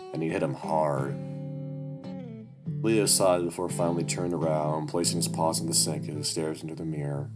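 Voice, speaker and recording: A man reading a story game aloud and commenting on it, swearing often and restarting his sentences. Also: a bass voice. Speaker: gamer playing a story game. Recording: music playing clearly behind.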